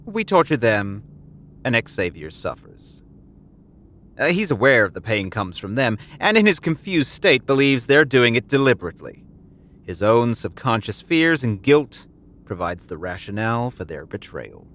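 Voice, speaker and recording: A coldly clinical male doctor played by an actor explains cruelty matter-of-factly, in audio drama style. His mid-pitched voice is lively in its rise and fall while staying detached in manner. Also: moderate pace. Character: cold clinical male doctor, calm torturer.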